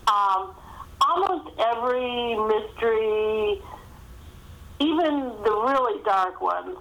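A woman's voice: a little high, expressive, slow pace, fairly bright tone, low-quality recording. A middle-aged woman talking conversationally as an author in an interview, chatty and anecdotal, often saying "you know".

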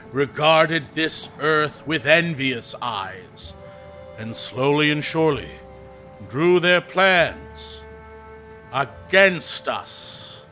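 An actor playing a suave young man in an audio drama, with a measured, grand, deliberate delivery. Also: a little high, sharp tone, full character voice. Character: suave, theatrical young actor reading a novel aloud.

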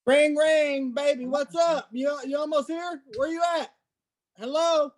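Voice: high voice, fairly even intonation, measured pace, a little hiss or hum. A performer playing an impatient, sweet-talking partner on a phone call in an improv comedy sketch, insistent and familiar.

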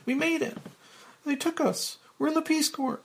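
A man's voice: very high-pitched, normal speed, creaky, pitched above the performer's own voice. A man voicing an eager, bubbly young woman in a screenplay read-through. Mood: excited. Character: eager, chirpy young woman, idealistic girlfriend.

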